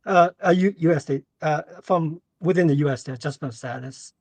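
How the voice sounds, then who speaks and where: A man with a fairly high-pitched voice speaks as a caller to an advice show, in a dry, echo-free sound. His delivery is casual and halting, often circling back to reword what he means. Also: darkish tone. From Canada, born in Singapore. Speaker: caller with a question. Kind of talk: casual question on a call-in show.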